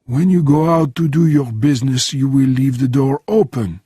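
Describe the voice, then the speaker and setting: A man's voice: medium pitch, normal speed, mellow, slightly rough, no echo, clean studio sound, voice pushed up, close to an everyday voice. A man voicing an urbane, wry Frenchman in his seventies, a veteran agent in a spy audiobook. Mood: calm, businesslike. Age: 70s.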